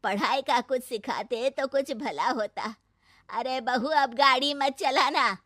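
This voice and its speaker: Woman acting a sharp, high-pitched scolding old mother-in-law. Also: slow pace, no room sound, studio quality. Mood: stern. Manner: scolding, indignant, sarcastic. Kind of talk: moral story cartoon voice acting.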